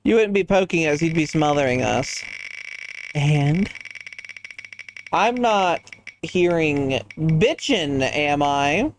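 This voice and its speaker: A man's voice: on the high side, lively intonation, slow, pauses often, a little hiss or hum. A man streaming a game in casual chat, rambling and reacting to viewers with goofy asides. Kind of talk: casual chat while gaming.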